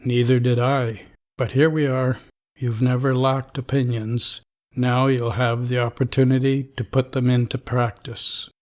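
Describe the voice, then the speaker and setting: A man voicing a middle-aged vault overseer in an audio drama, dry and dismissive, clinging to the rules. His voice sits at an ordinary pitch, and he hands down orders in a flat, bureaucratic manner. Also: unhurried, natural voice. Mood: stern. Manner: dry, rule-bound, dismissive.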